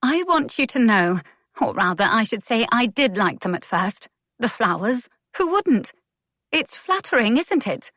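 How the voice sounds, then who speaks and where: A woman voicing a proud young society lady, speaking up higher with an indignant, pleading edge. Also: expressive, fairly quick, hardly any pauses, fairly bright tone, no room sound, studio quality, natural voice.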